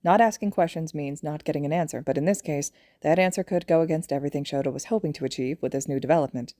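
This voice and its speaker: A woman narrates a piece of fan fiction from a script. Her voice sits a little low and is relaxed and dark in tone. The sound is very dry, with no room to it. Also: fast, no room sound.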